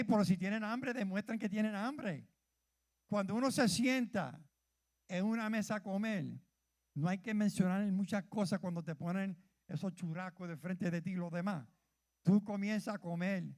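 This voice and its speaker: Fervent high-voiced man preaching. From Puerto Rico. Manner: fervent, calls out questions to the congregation, stumbles over words. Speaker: church leader preaching. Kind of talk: sermon.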